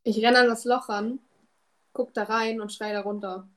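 A woman with a high voice takes part in a tabletop role-playing session as a player. She speaks casually, as in chat around a game table. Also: little pitch movement, moderate pace, slight background noise.

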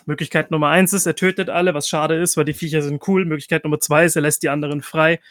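A man with a slightly high voice plays at a tabletop game. He talks casually and thinks out loud as he works through his moves, dropping in English game terms. The sound is dry and close, without room echo. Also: level tone, fast.

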